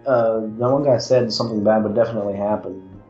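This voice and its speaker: A man's voice: fairly low pitch, steady tone, brisk, a little mellow. A man playing a tabletop game, chatting casually with a wry, discursive manner. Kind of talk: casual group chat. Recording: roomy muffled audio, music bed. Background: music.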